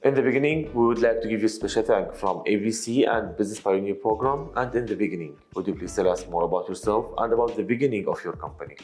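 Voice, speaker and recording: Male interview host, Arabic accent, formal style, extremely quick pace, slightly low pitch. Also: a little mellow. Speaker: TV show host. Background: background music.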